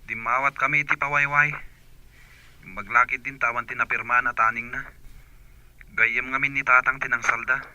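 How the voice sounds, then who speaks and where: Sharp male actor's brother over a telephone. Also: mid-range voice, flat delivery, normal speed, long pauses, no room sound, dull audio. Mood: reproachful. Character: blunt younger brother phoning his sister from home. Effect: telephone filter. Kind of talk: Ilocano radio drama acting. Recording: thin, band-limited telephone sound.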